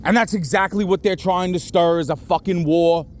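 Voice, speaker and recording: A man's voice: sits high, fairly even intonation, moderate pace. A middle-aged man on a phone call, venting in an agitated, rambling way with heavy swearing. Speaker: caller reporting to police. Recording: noisy phone call, traffic sounds behind.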